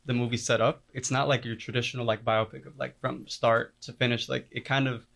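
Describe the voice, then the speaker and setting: A man's voice: mid-range voice, little pitch movement, fairly quick, vocal fry, fairly bright tone. A man in a casual interview, describing his film crew work and leaning heavily on "like" as a filler. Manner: heavy use of "like" as filler.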